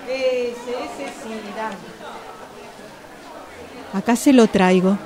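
A woman's voice: on the high side, drawn-out words, a crowd in the background. A woman with a Rioplatense Argentine accent playing a busy, obliging but muddled shop assistant in a radio play.

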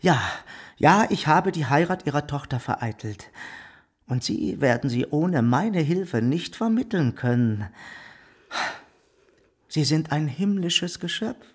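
Vain fat bourgeois suitor, high voice, slow delivery, pompous, scheming, insistent, theatrical radio drama. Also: male voice, animated, breathy edge, full character voice. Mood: menacing, wooing. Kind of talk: German radio drama acting.

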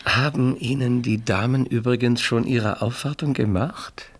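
An actor playing a worldly, gallant French marquis in a German radio play, with an urbane, theatrical manner. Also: male voice, medium pitch, steady tone, unhurried, husky. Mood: teasing, gallant.

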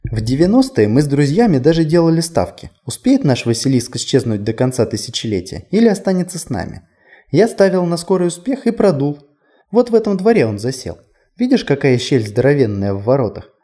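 A man's voice: a little high, very animated, brisk, dark tone. A man voicing a whimsical, chatty male tour guide in an audiobook, conversational, playful and self-deprecating. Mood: amused, chatty. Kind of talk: audiobook character dialogue.

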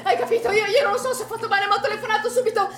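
An actress playing a flustered, fearful female pool manager in a stage drama, agitated and halting, repeating her words. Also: extremely high pitch, steady tone, fairly bright tone. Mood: anxious, defensive. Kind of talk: naturalistic Italian stage drama acting. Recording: roomy, distant stage recording with music.

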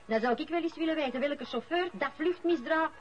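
A woman's voice: sits high, a little fast, natural voice. An actress playing a cynical, suspicious woman in a radio drama, sarcastic and needling. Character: cynical, suspicious woman scoffing at official news. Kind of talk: Dutch-language radio drama acting. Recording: dull lo-fi recording, faint music.

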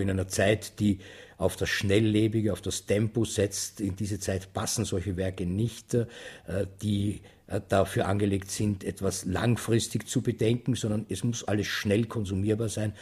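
A man's voice: lowish voice, flat delivery, moderate pace, a little mellow, breathy edge. An older man, giving eloquent, emphatic interview answers in long, articulate sentences. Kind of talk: interview commentary.

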